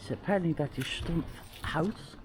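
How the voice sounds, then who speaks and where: A woman's voice: low voice, normal speed. A British woman giving casual travel vlog commentary in a wry, understated way. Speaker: travel vlogger.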